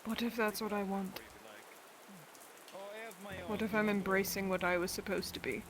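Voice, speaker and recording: A woman's voice: mid-range voice, fairly even intonation, moderate pace, crowd noise, natural voice. A woman playing a proud, defiant young chaos mage in a fantasy roleplay, insistent and self-justifying. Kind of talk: improvised fantasy roleplay acting. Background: rain.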